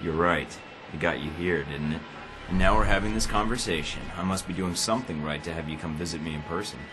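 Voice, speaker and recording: A man playing a cocky, wisecracking secret agent in a video game, talking with smooth, flippant sarcasm. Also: low-pitched, brisk, lightly acted. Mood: cool, defiant. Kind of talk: video game cutscene acting. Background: prominent music.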